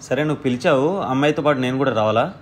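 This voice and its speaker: A man's voice: mid-range voice, quick pace, heavy background noise. A man in a confrontational phone argument, scolding and threatening, repeating his demands. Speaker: prank-call host posing as the woman's brother.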